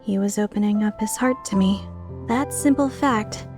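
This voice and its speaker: A woman playing an earnest, timid, tender-hearted young woman in a dubbed visual novel, speaking in a measured way. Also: mid-range voice, over music, close to an everyday voice. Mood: moved. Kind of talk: dubbed visual novel acting with inner narration.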